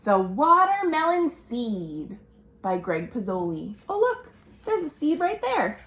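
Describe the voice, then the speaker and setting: A woman presents a storytime for young children in a fairly high voice. Her intonation is lively and varied, and her pace is slow. She talks straight to the children in an expressive way. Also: slight background noise. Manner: animated, expressive, addressing children directly. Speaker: children's librarian hosting virtual storytime. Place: indoors at home.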